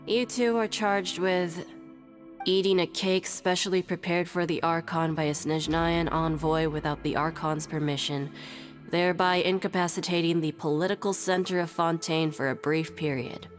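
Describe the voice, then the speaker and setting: Casting: jaded female prison registrar for a game acted by a woman, lowish pitch, dry and sardonic. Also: fairly even intonation, moderate pace, soft background music, lightly acted. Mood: dry, official. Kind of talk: English video game voice acting.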